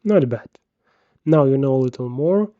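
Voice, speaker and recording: A man narrating a scripted software tutorial, giving measured step-by-step instructions. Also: mid-range voice, expressive, no echo, highs cut off.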